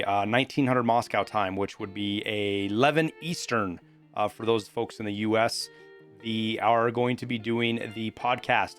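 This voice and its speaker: A man's voice: lowish voice, a bit bright. A man hosting a video game news update in an informal, conversational, explanatory way. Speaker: gaming news host. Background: background music.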